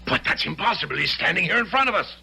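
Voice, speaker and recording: An actor playing a jovial, domineering middle-aged Hessian colonel in a radio drama, hearty, condescending and commanding. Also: male voice, high-pitched, expressive, fast talker, creaky, pushed and bright, husky, heavily acted. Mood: stern, incredulous. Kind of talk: old-time radio drama acting. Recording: noisy vintage radio drama recording.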